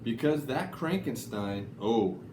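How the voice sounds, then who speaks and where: A man reads children's picture books at a slow, deliberate pace. His pitch moves up and down in a lively storytelling way, and the room is noticeably echoey. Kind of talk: expressive children's story reading. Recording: echoey room, faint background noise.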